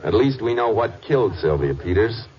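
An old, muffled radio recording of a Texas Ranger on a case. His voice is very low, his manner calm and methodical. He speaks with clipped, matter-of-fact authority, in classic radio drama style. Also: normal speed. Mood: businesslike, focused. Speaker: actor in lead role and narrator. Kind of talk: 1940s-50s radio drama acting. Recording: dull old-time radio recording with music.

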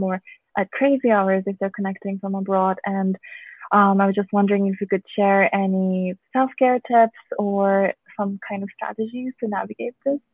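A woman's voice: mid-range voice, no room sound. Hesitant woman interviewer on a tinny line. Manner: hesitant, many fillers. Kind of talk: asking an interview question. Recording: tinny phone-line sound.

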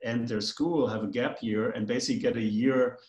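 A man's voice: medium pitch, fairly even intonation, a little fast, a little mellow, reverberant. A man with a German accent, a guest in a casual conversation, talking in a rambling, hesitant way with many fillers.

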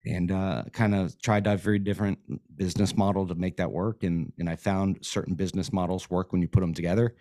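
Hoarse male guest from Florida, very low voice; relaxed, rambling studio interview talk. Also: brisk, dry recording. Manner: easygoing, rambling, says "man" often. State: losing his voice. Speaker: podcast guest. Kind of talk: casual interview chat. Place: podcast studio.

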